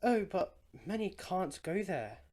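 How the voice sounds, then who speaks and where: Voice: medium pitch, big pitch swings, a little slow, darkish tone. An actor playing a genteel, compassionate charity collector in a radio play, formal and courteous. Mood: concerned.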